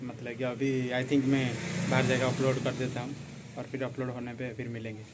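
Young man, flat delivery, rambling casual vlog, phone at arm's length, faint background noise. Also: medium pitch, a little fast. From Odisha, India. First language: Odia. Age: young adult. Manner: relaxed, rambling, many fillers. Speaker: vlogger. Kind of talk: casual daily vlog narration. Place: small village shop and outdoors. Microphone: phone camera at arm's length.

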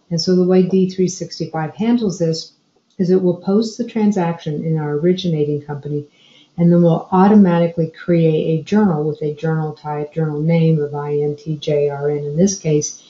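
Low-pitched woman, software tutorial, roomy sound. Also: lo-fi sound. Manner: explanatory, step-by-step. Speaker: software trainer. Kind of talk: screencast tutorial narration. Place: indoor room, screen recording voice-over.

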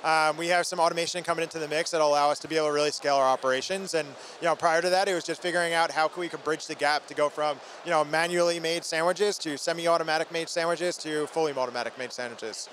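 Fast-talking, higher-pitched man in an interview. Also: steady tone, fairly bright tone. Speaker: company founder being interviewed. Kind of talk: trade show interview. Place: busy trade show booth indoors. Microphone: handheld microphone. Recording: faint convention hall background noise.

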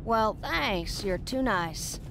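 An actress playing a level-headed, earnest and capable superheroine in an animated game. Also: female voice, medium pitch, slow, noisy background. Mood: polite. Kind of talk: animated film game voice acting. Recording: loud music over the voice.

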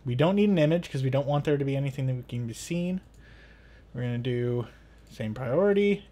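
A man's voice: fairly high pitch, darkish tone. A man in his thirties hosting a tutorial, talking casually through each step. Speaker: tutorial host.

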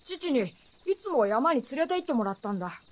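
High-voiced, knowing young boy. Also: big pitch swings, brisk. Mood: matter-of-fact. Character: guarded, knowing adolescent boy wanderer in Japanese fantasy. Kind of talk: Japanese radio drama acting.

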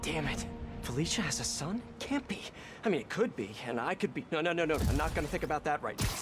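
A man's voice: fairly high pitch, animated, quick pace, over music, natural voice. An American man in young adulthood playing an earnest, wisecracking masked superhero in a video game, flustered and quippy. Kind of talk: video game cutscene acting.

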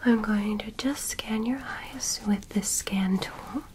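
A young woman playing a calm, curious female alien medical examiner in an ASMR roleplay, in a soft, breathy whisper with a gentle clinical manner. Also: mid-range voice, a little hiss or hum, lightly acted. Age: young adult. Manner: slow, gentle, clinical, politely instructing. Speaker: ASMR performer. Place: small bedroom set, indoors. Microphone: close microphone.

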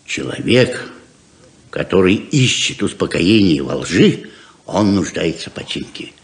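A man's voice: deep voice, sing-song, a little slow, creaky, full character voice. An actor playing a frank, persuasive, hospitable father of grown children in a 1970s radio play, earnest and plain-spoken. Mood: frank, reproachful.

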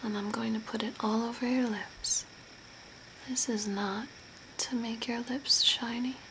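Woman as a slow, whispering, eerie zombie makeup artist. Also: fairly high pitch, steady tone. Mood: calm, eerie. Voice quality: soft breathy whisper. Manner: slow, deliberate, gently instructing. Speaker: ASMR host. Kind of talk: whispered ASMR role play. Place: small room at home by a window. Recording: noisy home recording, background hiss. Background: clear background noise.

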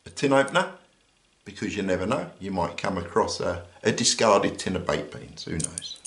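An older British man with an easygoing manner, explaining a survival kit item by item while pausing now and then. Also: low voice, gravelly, roomy sound. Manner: relaxed, explanatory, with frequent "uh" pauses. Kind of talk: casual show-and-tell demonstration. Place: indoors at a table. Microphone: overhead camera on hands, speaker off frame.

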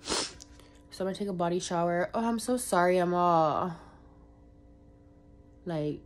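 A woman's voice: fairly low pitch, measured pace. A young woman, congested from a cold, rambling casually in a vlog with lots of fillers. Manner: rambling, filler-heavy, chatty. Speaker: vlogger.

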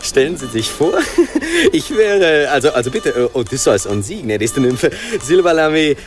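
An actor playing a gushing, brash charmer with an effusive, halting manner in a radio play. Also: male voice, fairly high pitch, sing-song, faint music behind. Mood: gushing flattery. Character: gushing, flattering, brash showman courting a nymph. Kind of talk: German radio play acting.

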